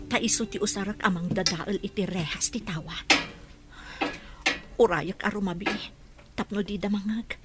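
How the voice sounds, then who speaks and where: A woman's voice: mid-range voice, lightly acted. An actress playing a desperate captive woman who plots her escape, talking to herself in an audio drama. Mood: tense, determined. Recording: very loud music under the voice.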